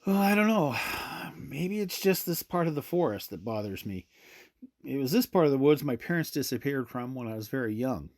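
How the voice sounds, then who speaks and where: A man's voice: medium pitch, expressive, normal speed, darkish tone, no room sound, studio quality, close to an everyday voice. An actor playing an easygoing male park ranger in an audio drama, talking in a conversational, somewhat hesitant way. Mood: hesitant, uneasy.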